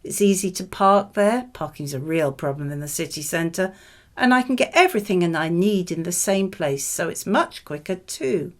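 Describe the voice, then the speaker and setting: A woman reading scripted model answers for a speaking exam in a measured, expressive way. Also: fairly low pitch.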